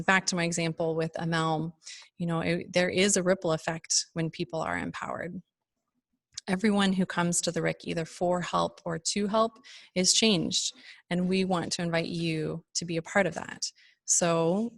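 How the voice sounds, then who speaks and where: A woman hosting a nonprofit fundraiser, giving a prepared, earnest and measured talk. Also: on the low side, creaky, no room sound, clean studio sound.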